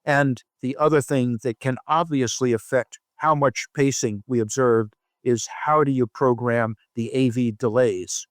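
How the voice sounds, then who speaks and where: A man speaking as a physician researcher in an interview, explaining findings in a measured, methodical and precise way. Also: mid-range voice, steady tone, no echo, studio quality.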